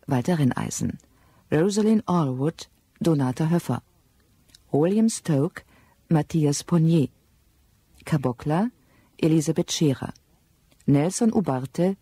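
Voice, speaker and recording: A man reading radio drama closing credits as an announcer, in a measured, formal manner. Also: on the high side, dark tone, slightly rough, no room sound.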